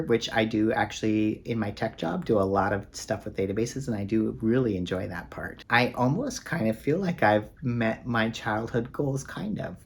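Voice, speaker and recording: A man with a fairly low voice talks casually to the camera in a book vlog. He rambles with plenty of ums and uhs, poking fun at himself. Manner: rambling, self-deprecating, many fillers. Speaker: book vlogger.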